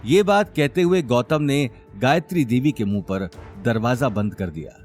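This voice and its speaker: A man tells a scripted story as a dramatic narrator. His medium-pitched voice moves with animated intonation. Faint music runs underneath. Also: normal speed.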